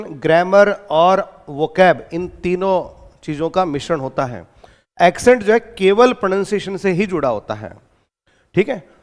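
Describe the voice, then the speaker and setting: A man with an Indian accent giving an exam-coaching lecture, reading English lines slowly and explaining them in Hindi with teasing asides. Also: high-pitched, big-room sound.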